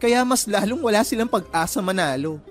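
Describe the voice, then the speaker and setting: A man's voice: sits high, lively intonation, brisk, soft background music. A man playing a flamboyant, sassy college theater student in a radio drama, with a campy, playful, swooping delivery. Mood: boastful, sassy. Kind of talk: Filipino radio drama acting.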